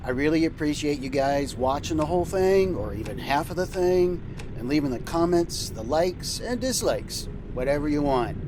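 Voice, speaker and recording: Male trucker-captain host, slightly high voice, casual and folksy, loud vehicle noise throughout. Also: lively intonation, moderate pace. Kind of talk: semi-scripted casual show banter.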